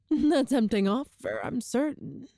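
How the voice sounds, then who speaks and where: A woman playing a cruel, seductive empress in a scripted audio roleplay, speaking with teasing, formal, deliberate diction. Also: on the high side, wildly swooping pitch, warm tone, no echo, clean studio sound, heavily acted. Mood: amused, tempting. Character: cruel, seductive evil empress, proud and calculating. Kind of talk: scripted audio roleplay, ASMR-style monologue to the listener.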